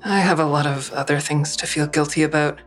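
A woman's voice: sits low, fairly even intonation, fairly quick, soft background music. A woman playing a guarded, cynical middle-aged daughter in an audio drama, dry and terse. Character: guarded, cynical adult daughter who narrates. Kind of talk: naturalistic audio drama acting with narrated asides.

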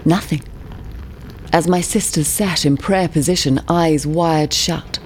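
An actress playing a composed, haunted woman narrating her family past in an audio drama, in measured, literary, portentous phrases. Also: sits low, a little hiss or hum. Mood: ominous. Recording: prominent music bed under voice.

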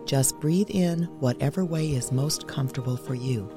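Low-voiced woman guiding meditation over music. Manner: measured, instructional delivery. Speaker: meditation guide and podcast host. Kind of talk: guided meditation, scripted narration.

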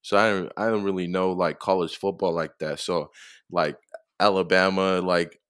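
Young man with a very deep, smooth voice. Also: little pitch movement, moderate pace, fairly bright tone, no room sound, studio quality. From Tonga. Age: young adult. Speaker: college football player, interview guest. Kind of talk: casual interview answers.

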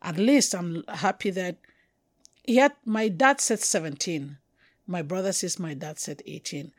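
A middle-aged woman from Cameroon with a Cameroonian accent, talking thoughtfully as an interview guest, halting and restarting, slowing down to stress words. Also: fairly low pitch, no room sound, clean studio sound. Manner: reflective, halting, with restarts and slow emphatic stretches. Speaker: guest poet. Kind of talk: conversational interview.

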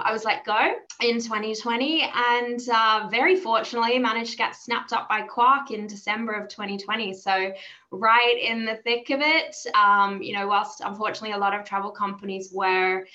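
Female podcast guest, thirties, Australian accent, bright and emphatic delivery, somewhat dull lo-fi recording. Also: mid-range voice, steady tone, moderate pace. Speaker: interview guest, travel business development manager. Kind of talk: conversational interview.

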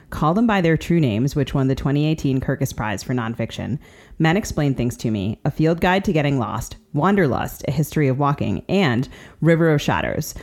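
A woman's voice: extremely low pitch, darkish tone. An American woman co-hosting a podcast, delivering a scripted introduction. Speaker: podcast co-host.

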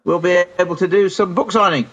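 A man's voice: high voice, brisk, fairly bright tone, breathy edge, lo-fi sound. A British man chatting casually and chummily as co-host of a radio show, with a teasing streak.

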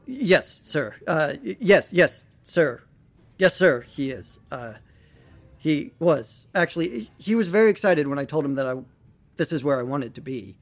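Eager, nervous young rookie doctor. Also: male voice, fairly high pitch, animated, a little slow. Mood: nervous, flustered. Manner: stammering, deferential, earnest. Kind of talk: audio fiction acting.

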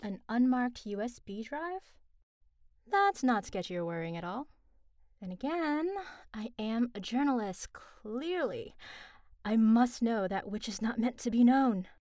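Casting: a sardonic young reporter, somewhat high voice, dry self-talk. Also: female voice, lively intonation, a little slow, darkish tone, no room sound. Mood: wry. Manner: sardonic, talking to herself. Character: wry young woman journalist.

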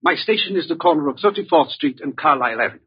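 A man's voice: a little high, lively intonation, fast talker, breathy edge. An actor playing a wealthy, self-important man who fears for his life, speaking stiffly and formally in an old radio drama. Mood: grave. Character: wealthy, haughty, frightened male client in danger. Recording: muffled vintage radio drama recording.

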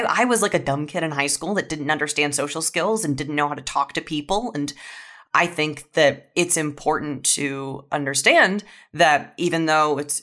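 Low-voiced young woman, candid and earnest. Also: sharp tone. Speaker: audio fiction writer, podcast guest. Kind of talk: casual reflective interview talk.

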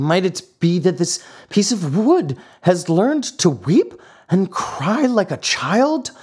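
A man's voice: medium pitch, a little mellow. A man voicing an old carpenter who stutters with fright and blusters to hide it.

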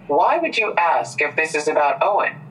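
An actress playing a blunt, probing police detective in an audio drama, heard on a recorded phone call. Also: female voice, sits low, big pitch swings, moderate pace, fairly bright tone, distant mic. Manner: blunt, incredulous questioning. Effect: played-back phone call recording.

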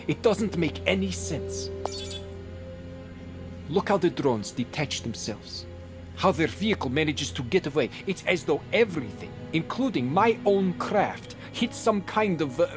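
An actor playing an imperious, slippery professor in an audio drama, smug and demanding. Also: male voice, on the high side. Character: imperious, slippery male professor commanding a military operation.

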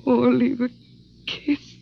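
A woman's voice: sits high, little pitch movement, slow, vocal fry, husky, full character voice. A woman playing a dreamy, anguished Martian woman in an old radio drama, speaking with breathless emotion. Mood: wistful singing. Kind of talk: 1950s radio drama acting. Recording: dull, muffled old radio recording.

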